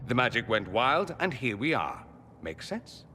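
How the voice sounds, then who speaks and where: Witty, animated mage in reverberant hall. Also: male voice, medium pitch, measured pace, a little hiss or hum. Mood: thoughtful, wry. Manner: wry, theatrical, articulate. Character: witty flamboyant male mage, confident and wry. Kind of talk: video game character acting.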